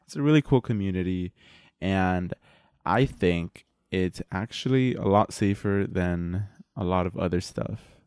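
A young man with a fairly low voice hosts a casual podcast conversation. His wording is informal and slangy. The sound is dry, with very little room. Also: unhurried, darkish tone, smooth, no room sound. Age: young adult. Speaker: podcast host. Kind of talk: casual chat.

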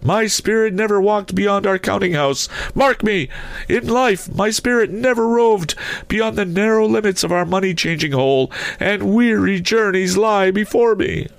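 A man voicing the anguished ghost of a dead businessman in a storybook reading, mournful and declamatory, with drawn-out solemn words. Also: high voice, creaky, no room sound, voice pushed up.

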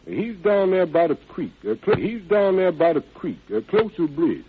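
A man's voice: high voice, sing-song, normal speed, noisy background. An actor playing an evasive, deferential working man with folksy, ungrammatical speech and polite yes-sirs, in an old radio drama style. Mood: evasive, hesitant. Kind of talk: 1940s-50s radio drama acting. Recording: tinny band-limited old radio recording.

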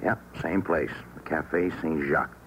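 An actor playing an earnest, uneasy war correspondent with a questioning, conversational manner in an old-time radio drama. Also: male voice, extremely low pitch, a little slow. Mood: matter-of-fact. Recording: muffled vintage radio with faint noise.

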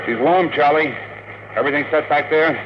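An actor with an American accent playing a gruff, blunt, protective rocket pilot in classic radio drama, with clipped, slangy, commanding speech. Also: male voice, on the high side, brisk, dull audio, close to an everyday voice. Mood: brisk, commanding. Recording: noisy old radio drama recording.

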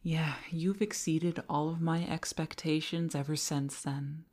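A woman plays a possessive female boss in an intimate audio roleplay. Her voice is low and she takes her time, coy and halting. She sounds flirtatious and easily flustered, with words that can turn breathy. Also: level tone, a little mellow. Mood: doting, flirtatious. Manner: coy, halting, giggly, often dropping to breathy whispers.

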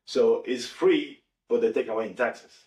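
A man from Venezuela with a Venezuelan accent, a native Spanish speaker, talking casually and informally in an animated way. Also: medium pitch, moderate pace. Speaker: podcast participant. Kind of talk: casual conversation. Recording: echoey room, distant mic.

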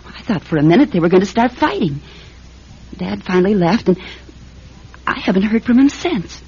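Very husky young club singer. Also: female voice, medium pitch, expressive, moderate pace, mellow. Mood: worried. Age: young adult. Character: April, earnest, worried young nightclub singer, hopeful niece. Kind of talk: radio mystery drama acting. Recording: muffled radio recording.

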